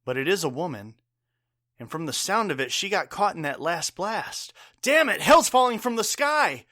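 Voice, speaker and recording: A man playing a caring, gruff bartender father and war veteran in an audio drama, earnest, wry and plain-spoken. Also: sits high, big pitch swings, normal speed, pushed and bright, heavily acted. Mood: urgent.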